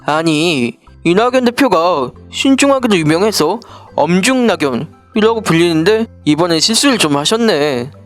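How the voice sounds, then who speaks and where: A woman's voice: fairly low pitch, animated, normal speed, hardly any pauses, soft background music, natural voice. A woman playing a naive, scheming would-be politician sidekick in a storybook political satire, casual, exclamatory and wheedling. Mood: surprised. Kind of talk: scripted political satire dialogue, storybook parody.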